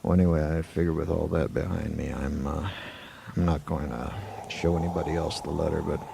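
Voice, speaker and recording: A man playing a laconic, weary frontier marshal in a Western radio drama, with a gravelly voice and a slow, halting delivery. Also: extremely low pitch, fairly even intonation, warm tone, exaggerated voice. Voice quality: gravelly, creaky. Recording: noisy, hissy 1980s amateur tape.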